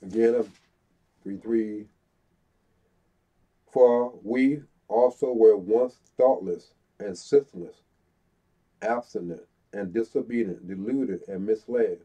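A middle-aged man with a stuffy nose, slowly reading scripture aloud as a Bible teacher, pausing between phrases. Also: lowish voice. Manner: slow, deliberate reading with pauses, stuffy-nosed. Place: small room before a brick wall. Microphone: fixed camera, head and shoulders. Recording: dull lo-fi sound.

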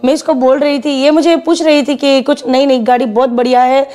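A woman's voice: high voice, steady tone, fairly quick, mellow. A woman in her thirties, a customer giving a testimonial in casual interview answers. She praises enthusiastically and repeats herself, with hesitant fillers, on a lapel mic in an indoor shop office.

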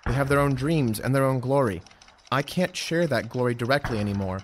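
A man's voice: medium pitch, brisk, slight background noise, natural voice. An actor playing an earnest, courteous young Roman nobleman and officer in an audio drama. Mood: composed.

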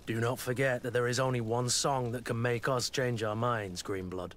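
An actor playing a sly, mercenary guard in a video game. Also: male voice, mid-range voice, fairly even intonation, moderate pace, a bit bright, slight background noise, lightly acted. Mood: sly, taunting.

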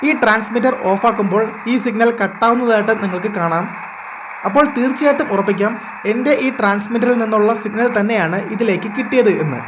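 A man's voice: high voice, fast talker, background noise. A man explains things as the host of an electronics tutorial.

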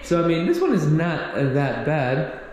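Male property agent, slightly high voice, casual walkthrough, heavy room echo. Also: wildly swooping pitch, moderate pace, a little mellow. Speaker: real estate agent giving a property tour. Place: empty condo rooms and outdoor walkway. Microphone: handheld action camera. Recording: echoey empty rooms, some background noise.

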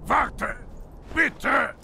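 An actor playing a taunting, cunning old shinobi father in an audio drama, with a gravelly voice. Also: extremely high pitch, extremely slow, background noise, heavily acted. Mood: pleading. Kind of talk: German audio drama acting. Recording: loud ominous music over voice.

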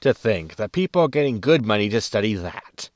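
Guy as a gruff rogue space captain, sci fi radio comedy, lowish voice, curt and wry, real dry audio. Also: sing-song, brisk, dry recording. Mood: impatient. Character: gruff, roguish male spaceship captain, easily irked.

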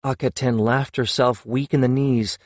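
A man's voice: mid-range voice, fairly even intonation, normal speed, no echo. Man narrating a fantasy audiobook, very dry sound.

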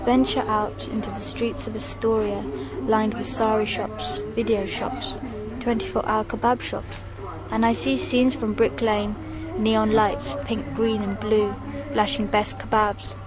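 A woman's voice: mid-range voice, level tone, rough recording. Woman narrating poetry over music. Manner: measured, evocative delivery with short fragmented lines.

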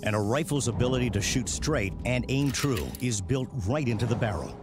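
A man reading scripted television documentary narration in a measured way with dramatic emphasis. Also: medium pitch, lively intonation. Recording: music behind the voice. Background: background music.

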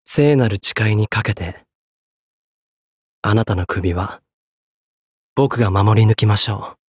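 An actor playing a solemn, courteous young executioner in a Japanese anime game, speaking in a polite, measured, grave way. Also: male voice, fairly low pitch, slightly rough, dry recording, clean studio sound. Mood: solemn, devoted. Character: solemn, courteous young executioner, a guilt-burdened French swordsman.

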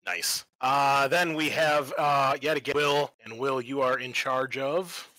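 A man runs a tabletop roleplaying session as game master. His voice is bright and forward, at a middle pitch, recorded close and dry. He switches between casual hosting and narration. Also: fairly even intonation, a little slow, no room sound, poor audio. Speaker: game master and podcast host. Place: online group call.

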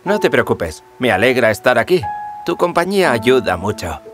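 Man playing an earnest young prince, very animated. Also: medium pitch, normal speed, soft background music, natural voice. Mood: gentle. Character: young overlooked prince, good-natured and modest. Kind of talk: cartoon fairy tale dialogue.

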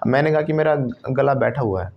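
A man's voice: medium pitch, lively intonation, brisk, gravelly, highs cut off, crowd noise. A man with an Indian accent teaching a spoken English class, prompting students to repeat phrases. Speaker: English trainer.

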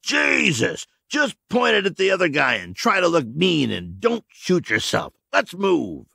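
A man's voice: sits high, wildly swooping pitch, measured pace, vocal fry, pushed and bright, husky, dry recording, studio quality, voice pushed up, heavily acted. Man voicing a barking militia commander. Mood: urgent. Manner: barking urgent orders. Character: gruff male militia leader, commanding.

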